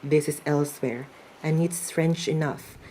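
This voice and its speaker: Woman as smitten Filipino suitor, low mellow voice. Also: fairly even intonation, unhurried, a little hiss or hum, low-quality recording. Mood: regretful. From Philippines. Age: young adult. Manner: earnest, wooing. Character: earnest, smitten man courting a young woman, wistful. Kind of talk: student radio drama acting.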